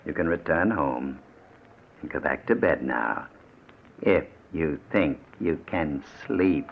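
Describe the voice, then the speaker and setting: A man's voice: a bass voice, animated, takes it slowly, exaggerated voice. An actor playing a sadistic, mockingly polite murderer in an old-time radio thriller, toying with his victim in silky mock concern. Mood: taunting sympathy. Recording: muffled, noisy vintage radio recording.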